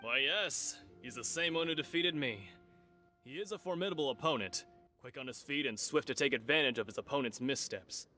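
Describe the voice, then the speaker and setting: Man playing a wordy, bright-voiced martial artist. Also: medium pitch, brisk, natural voice. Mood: courteous, admiring. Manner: effusive, wordy, formal phrasing. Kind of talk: video game character acting. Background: game music.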